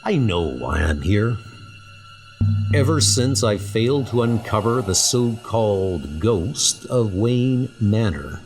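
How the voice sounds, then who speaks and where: A man playing a skeptical, self-doubting paranormal investigator, brooding and deliberate, in a dramatic comic book inner monologue. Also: lowish voice, big pitch swings, music in the background.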